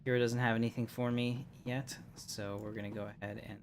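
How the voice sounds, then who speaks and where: A man's voice: medium pitch, flat delivery, a little fast, slight background noise. A man hosting a game livestream, rambling loosely and chuckling as he plays. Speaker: livestream host. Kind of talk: casual gameplay commentary.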